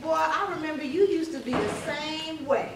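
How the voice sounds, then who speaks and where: Actress for teasing, folksy older matriarch in a stage play, very high pitch, heard from far off in an echoing hall. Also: female voice, moderate pace. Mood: sassy, teasing. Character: sassy older churchgoing mother and grandmother, teasing her grown son. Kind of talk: urban stage play acting. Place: on stage in a theatre, far camera. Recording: noisy echoey theatre stage, far from microphone.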